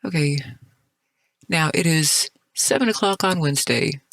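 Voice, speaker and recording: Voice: mid-range voice, measured pace, fairly bright tone, a little hiss or hum. A gameplay host giving casual, rambling commentary, muttering and thinking aloud in a self-deprecating way. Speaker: gaming video host.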